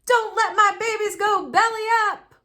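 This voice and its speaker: Woman playing storybook pioneer dad, bold and fretful, pitched high, exclamatory about his beloved plants. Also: steady tone, a little slow, bright, forceful tone, exaggerated voice. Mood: panicked howling. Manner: exclamatory, howling over his precious plants. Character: fruit-obsessed pioneer daddy, fretful, bold and loud. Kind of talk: storybook character voice.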